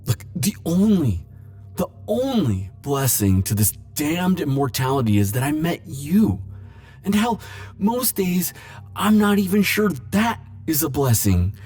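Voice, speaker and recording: A man's voice: fairly high pitch, very animated, faint music behind. Man acting a lovesick immortal, swinging with feeling. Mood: wistful, confessional, then anguished, yearning. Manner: halting, emotional, stammering confessions with soft wry asides. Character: lovesick immortal man, flirtatious, desperate, world-weary. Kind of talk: romantic audio roleplay, intimate dramatic acting.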